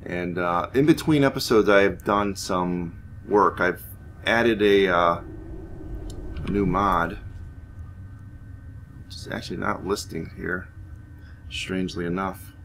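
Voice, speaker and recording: Guy with a lowish voice doing lets play commentary, kinda explaining stuff, bit of background noise. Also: slow, pauses often, a bit bright. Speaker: gaming series host. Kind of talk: roleplay let's play commentary with story narration.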